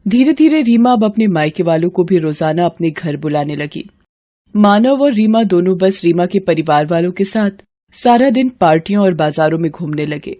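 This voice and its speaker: A woman's voice: fairly low pitch, mellow. Woman narrating a moral story.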